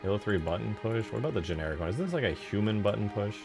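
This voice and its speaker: A man's voice: fairly low pitch, lively intonation, racing, creaky, over music. A man giving casual, informal commentary while modding a game, muttering and thinking aloud to himself. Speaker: video game modder.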